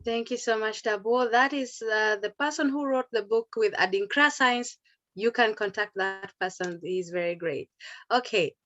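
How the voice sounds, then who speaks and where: A woman moderating a discussion, brisk and polite as she thanks speakers and hands over the floor. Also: a little high, a bit bright, faint music behind. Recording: dull lo-fi audio.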